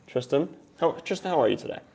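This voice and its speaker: A man's voice: a little high, expressive, quick pace, gravelly, a little mellow. A teenage boy hosting a school video show, upbeat and playful, hyping up his guests. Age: teens. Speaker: student host of a school show. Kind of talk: casual school news chat.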